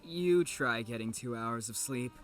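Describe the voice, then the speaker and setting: A man's voice: mid-range voice, unhurried, soft background music, natural voice. A man playing a mischievous, cocky young student with a teasing, drawling delivery in an audio drama.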